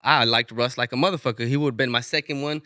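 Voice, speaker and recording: Podcast guest: man, bright crisp tone, dry studio sound, loose and casual. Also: medium pitch, quick pace, no room sound. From Inglewood, California. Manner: relaxed, loose, swears freely, many restarts. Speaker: rapper guest.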